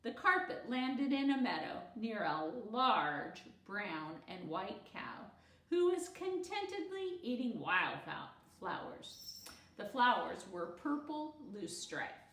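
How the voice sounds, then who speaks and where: A woman reading a children's book aloud as a storyteller, in an animated, expressive manner. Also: medium pitch, takes it slowly, echoey room, distant mic. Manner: animated, acts out character dialogue.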